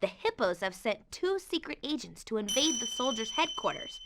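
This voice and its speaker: A woman playing a perky, friendly young female faun who narrates video game cutscenes in an expressive storybook way. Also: a little high, normal speed, very noisy. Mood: concerned explaining. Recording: game music under the voice.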